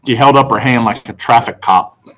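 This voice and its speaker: A man's voice: medium pitch, normal speed, fairly bright tone. A man reading scripted children's fiction aloud as an audiobook narrator.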